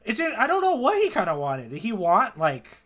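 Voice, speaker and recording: A man with a bright, forward voice pitched a little high chats as a podcast co-host. He is inquisitive and conversational, often tagging his points with questions. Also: wildly swooping pitch, brisk, slight background noise. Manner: inquisitive, prompting with questions and tag checks. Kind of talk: casual chat, manga readalong discussion.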